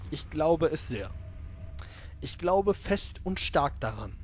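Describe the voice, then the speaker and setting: A man's voice: a little high, big pitch swings, slow pace, background noise. A man giving casual, jokey commentary while playing a video game, talking to the characters on screen. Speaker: let's play commentator. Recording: game music behind the voice. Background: game music.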